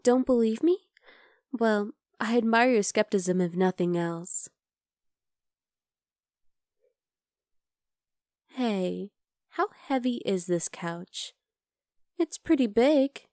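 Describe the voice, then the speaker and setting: A woman's voice: fairly high pitch, lively intonation, takes it slowly, no room sound, studio quality. A woman playing an obsessive, chatty vampire lab worker in an ASMR roleplay, coaxing and teasing the listener. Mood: smug, playful. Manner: conversational, teasing, coaxing, with soft asides.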